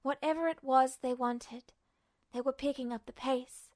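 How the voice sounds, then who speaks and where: A woman's voice: sits high, fairly even intonation, moderate pace, darkish tone. A woman reading a story aloud as a scripted audiobook-style narration. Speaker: fan fiction podfic narrator.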